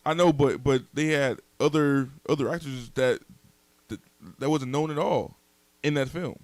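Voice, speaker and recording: A man co-hosting a casual debate, arguing in slang with halting, stammered repetitions. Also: medium pitch, no echo. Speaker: podcast co-host. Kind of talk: casual debate, movie chat.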